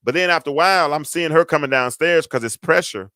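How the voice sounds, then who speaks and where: A middle-aged man hosting a talk show, telling a parenting story with a deep, stentorious voice and emphatic repetition. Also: fast talker, sharp tone, no room sound, clean studio sound. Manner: emphatic, repeats words for effect, folksy asides. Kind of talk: casual storytelling to an audience.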